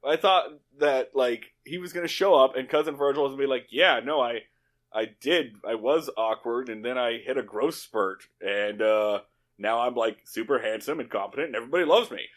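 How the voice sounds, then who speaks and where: A man's voice: medium pitch, normal speed. A man co-hosting a podcast in casual, comedic chat, swearing freely and slipping into paraphrased dialogue. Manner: profane, slangy, acts out paraphrased dialogue. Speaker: podcast co-host. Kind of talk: casual comedic chat recapping an old TV show.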